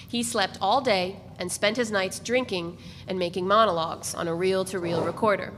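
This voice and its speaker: A woman gives a dramatic reading of literary fiction as its narrator. Her voice is mid-pitched, carried in a reverberant hall with some noise behind it. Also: fairly even intonation, moderate pace, a bit bright.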